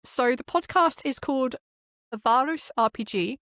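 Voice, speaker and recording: A woman's voice: sits high, a little slow, a bit bright, no room sound. Chatty, enthusiastic woman, close dry sound. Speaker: podcast guest, audio drama creator and game master. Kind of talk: casual interview chat.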